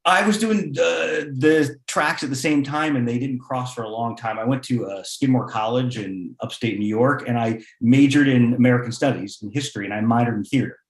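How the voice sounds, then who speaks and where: A man speaking conversationally as a playwright on a panel, in a halting way with restarts. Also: medium pitch. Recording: echoey room sound.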